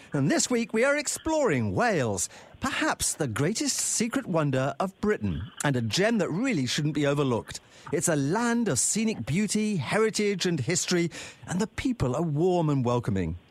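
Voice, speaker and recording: Expressive male radio travel host. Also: sits high. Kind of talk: radio show hosting and interview. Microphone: studio microphone.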